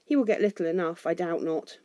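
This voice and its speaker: A woman's voice: lowish voice, fairly quick, dry recording, lo-fi sound, lightly acted. A woman voicing a proud, stubborn dwarf king from a storybook, imperious and scornful. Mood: bitter, grim. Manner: imperious, scornful, shouting in rage.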